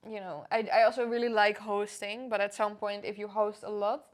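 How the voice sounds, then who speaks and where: A young woman with a Dutch accent co-hosting a podcast, chatting casually in a measured way. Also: mid-range voice, fairly even intonation. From Netherlands. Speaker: podcast co-host. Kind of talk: casual chat.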